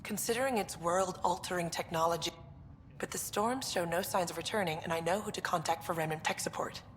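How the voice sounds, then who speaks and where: A woman's voice: lowish voice, a little fast, fairly bright tone, a little hiss or hum, close to an everyday voice. A woman playing a confident, upbeat research scientist at a colony in a video game, speaking informally and earnestly. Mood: brisk, confident. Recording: long reverb, music behind.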